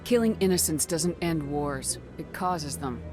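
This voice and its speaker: An actress playing a firm, no-nonsense military commander in a science-fiction game, direct and cool. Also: female voice, sits low, animated, unhurried, music in the background. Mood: cool, probing. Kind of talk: video game dialogue acting.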